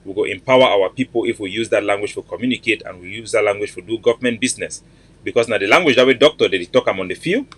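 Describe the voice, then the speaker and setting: A man's voice: on the low side, racing, fairly bright tone, no echo. A man in his forties with a Cameroonian Pidgin English accent, hosting an online show at home in earnest, repetitive, emphatic talk into a webcam. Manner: earnest, repetitive, emphatic, greets viewers by name. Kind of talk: casual livestream address.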